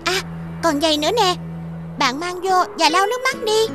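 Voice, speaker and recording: A woman's voice: very high voice, animated, moderate pace, music in the background. A woman voicing a sweet, kind and polite young girl in a cartoon. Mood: caring.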